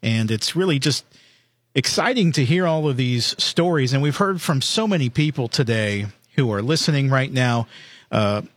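Upbeat man, live radio host, chatty with fillers, dry close studio sound, middle pitch. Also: animated, normal speed, dry recording.

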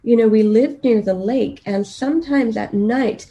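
Mellow-voiced woman telling personal stories. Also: fairly high pitch, unhurried, lo-fi sound. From Kenya. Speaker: podcast guest. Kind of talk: conversational personal storytelling interview.

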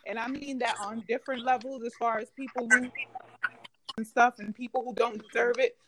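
A woman in a casual group discussion, making her points forcefully. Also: a little high, steady tone, fairly quick, very noisy.

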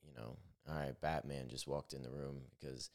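A young man with a naturally deep voice hosting his own podcast, talking candidly in a rambling, self-correcting way. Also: steady tone, normal speed. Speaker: podcast host. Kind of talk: solo reflective podcast monologue.

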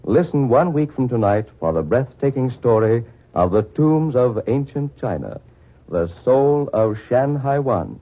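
An old, tinny radio recording of a male American announcer. He reads in a formal 1940s manner with a mid-range pitch, presenting the program from a script. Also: very animated, measured pace.

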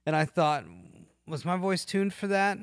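A man's voice: sits high, steady tone, measured pace, clear, resonant tone, no room sound. A man hosting a podcast, chatting casually about music and often searching for his words. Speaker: podcast host. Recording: dry, music playing behind.

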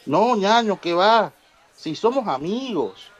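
A man plays a humble immigrant in a comic radio soap. His voice is high and swoops expressively. He explains things earnestly and respectfully. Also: very animated, takes it slowly, muffled recording, heavily acted. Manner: deferential, explanatory. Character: polite, earnest Ecuadorian immigrant godfather pal. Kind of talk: humorous radio soap acting.

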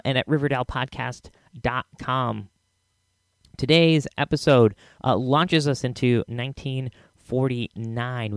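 A man hosting a podcast, giving a measured, presentational introduction. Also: medium pitch, creaky, no echo, studio quality. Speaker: podcast host.

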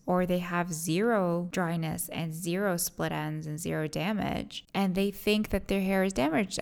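A woman's voice: lowish voice, creaky. A woman hosting a podcast, chatting casually and emphatically as she gives practical advice. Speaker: podcast host and hairstylist.

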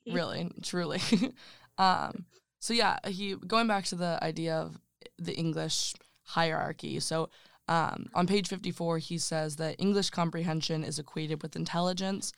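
An interviewer leading a casual book discussion, thoughtful and hesitant with many pauses. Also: mid-range voice, steady tone, gravelly, a bit bright, clear, resonant tone, dry recording, studio quality. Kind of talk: casual conversation.

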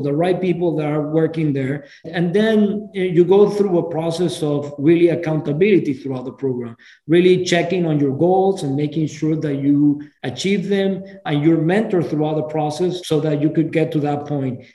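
A man's voice: fairly high pitch, warm tone. A man from Venezuela, speaking earnestly as an interview guest and often restarting his phrases. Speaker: guest, program founder. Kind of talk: casual interview talk. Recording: roomy echo.

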